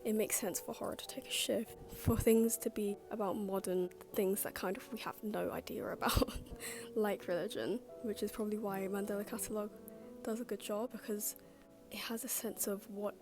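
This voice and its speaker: A woman's voice: mid-range voice, fairly even intonation, moderate pace. A young woman giving casual, rambling commentary in an informal, self-deprecating, loosely structured way. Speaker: YouTube video essayist. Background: background music.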